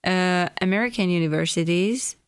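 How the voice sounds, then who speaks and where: A woman's voice: lowish voice, moderate pace, clear, resonant tone, no echo. A young woman from Iranian Kurdistan, a native Kurdish speaker with a Kurdish accent, answering interview questions hesitantly and searching for words. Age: young adult. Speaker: guest.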